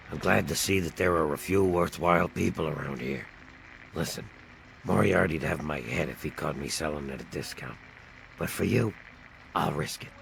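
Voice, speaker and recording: A man voicing a timid, bitter ghoul bartender in a video game, with a gravelly rasp and a nervous, self-pitying manner. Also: low voice, a bit bright, slight background noise, exaggerated voice, poor audio. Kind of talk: video game character dialogue.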